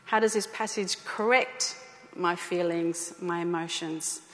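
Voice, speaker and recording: A woman's voice: fairly low pitch, fairly even intonation, a little slow, fairly bright tone. A woman giving an informal talk to a church group, speaking conversationally with fillers and repeated phrases. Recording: echoey hall.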